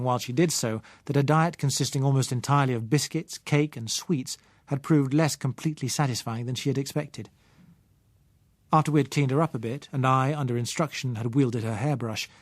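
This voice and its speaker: British guy reading an audiobook novel, measured storytelling, very dry close sound. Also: medium pitch, no echo. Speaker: audiobook narrator. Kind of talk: scripted first-person novel narration.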